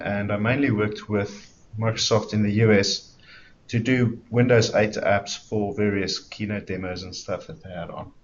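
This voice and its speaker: A man with a South African accent, in his thirties, talks developers through updates in a friendly, informal way. His voice sits fairly low. The webinar audio is dull and lo-fi, with a faint rumble underneath. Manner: friendly, informal, explains step by step with fillers. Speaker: developer evangelist presenter. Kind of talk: webinar presentation.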